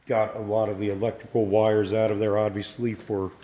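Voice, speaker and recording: A man with a slightly froggy voice from allergies, giving halting, musing, folksy commentary as he walks around exploring with a handheld camera. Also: on the low side, little pitch movement, dark tone, a little hiss or hum. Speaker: urban exploration video maker. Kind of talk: casual unscripted walk-through commentary. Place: walking around an abandoned park site.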